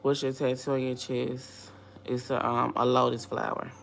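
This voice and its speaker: A woman with a low, scratchy voice hosting a casual livestream, reading viewer comments aloud and answering them in a chatty, upbeat way. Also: flat delivery, moderate pace, vocal fry. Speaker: livestream host.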